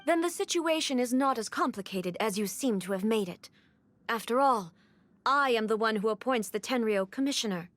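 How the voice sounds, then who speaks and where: A woman's voice: a little high, lively intonation, normal speed, no room sound, close to an everyday voice. A woman voicing a stern, imperious female ruler in a video game, speaking in a cold, clipped, authoritative way. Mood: cold, commanding.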